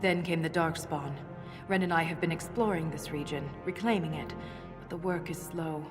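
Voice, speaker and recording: A woman's voice: deep voice, fairly even intonation, moderate pace, close to an everyday voice. Woman acting an earnest dwarven scholar in cavernous reverb. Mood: composed. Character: earnest female dwarven scholar exploring underground ruins. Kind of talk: video game cutscene acting. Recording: long cavernous reverb, music underneath.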